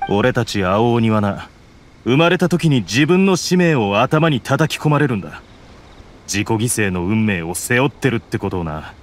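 A man's voice: medium pitch, lively intonation, normal speed, slight background noise, lightly acted. Man doing a cold scornful oni outlaw for a game, mid-range voice rising and dropping sharply. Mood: bitter, scornful. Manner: cold, scornful, heavy delivery. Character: bitter male blue oni outlaw, scornful and grim. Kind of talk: Japanese game voice acting.

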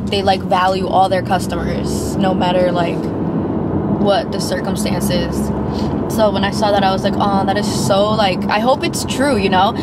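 A young woman vlogging to a dashboard camera from inside her car, chatting casually and rambling with lots of filler words. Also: medium pitch. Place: inside a parked and moving car. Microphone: dashboard-mounted camera. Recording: loud car and road noise. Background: car engine and traffic noise.

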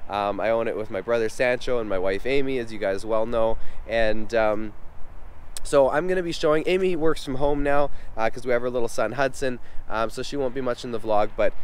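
A man in his thirties chatting casually to camera as a vlog host, congested with a head cold, speaking informally and a bit haltingly outdoors into a camera mic at arm's length. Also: medium pitch, clear, resonant tone. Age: 30s. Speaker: vlog host, helicopter company owner. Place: outdoors on an open helipad. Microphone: external camera mic at arm's length.

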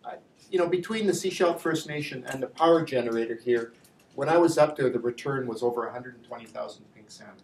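A man's voice: medium pitch, fast talker, darkish tone. Distant, echoey man presenting. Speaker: industry association representative, panel speaker. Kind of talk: public presentation at a panel discussion. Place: indoor lecture room with slide screen. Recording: echoey room, distant mic, faint noise.

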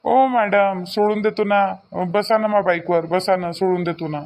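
A man's voice: high-pitched, fairly even intonation, unhurried, a little mellow. A man voicing a cocky, insistent young village loafer in a cartoon comedy, boastful and wheedling, with a Varhadi Marathi accent. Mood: wheedling, pestering. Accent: Varhadi Marathi from Vidarbha.

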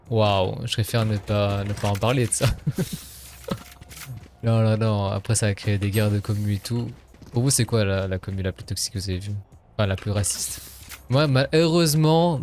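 Male game streamer over clear background noise. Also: on the low side, darkish tone. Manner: informal, slangy French with English gaming terms. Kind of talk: casual live gaming commentary and chat.

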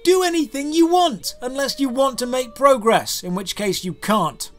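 A man delivering scripted comedic review narration in a sardonic, deadpan manner into a close microphone. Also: extremely high pitch, moderate pace. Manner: sardonic, dry deadpan humour. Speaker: video game reviewer, host. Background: clear background music.

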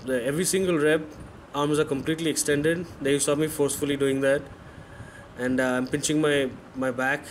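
A man's voice: a little high, steady tone, brisk, a little hiss or hum. A man in his thirties, a fitness vlogger, giving candid, self-correcting voice-over commentary on his own workout footage. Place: voice-over, not recorded in the pictured gym.

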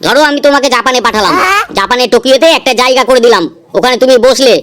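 Woman doing a pompous cartoon beggar boss guy, high voice, bossy, always scolding. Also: very fast, fairly bright tone. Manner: scolding, self-important. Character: bossy, exasperated veteran male beggar-company boss. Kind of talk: comedy cartoon voice acting.

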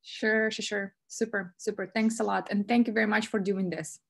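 A woman's voice: medium pitch, fairly even intonation, normal speed, gravelly, husky. A woman organising a startup webinar, chatting casually on a video call with hesitant fillers.

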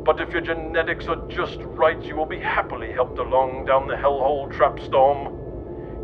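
A man reading scripted satirical narration in a mock-serious, declamatory manner. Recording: dull lo-fi audio, loud rumbling noise.

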